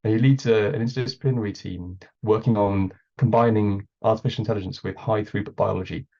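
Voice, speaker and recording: Gravelly hoarse man on a call. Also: fairly low pitch, steady tone, quick pace, dark tone. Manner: hesitant, many ums. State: hoarse from a cold. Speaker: meeting co-host. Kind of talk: online meeting facilitation. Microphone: computer mic on a video call. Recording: muffled lo-fi video call audio.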